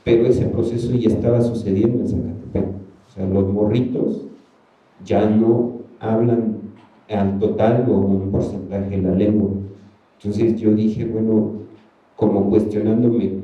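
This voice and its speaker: A man's voice: on the low side, mellow. A man with a Mexican Spanish accent whose first language is Ayuuk, speaking as an artist on a panel in a loose, colloquial, rambling way with many fillers. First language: Ayuuk (Mixe). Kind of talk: casual panel talk. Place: museum talk hall. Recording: roomy, distant, dull sound.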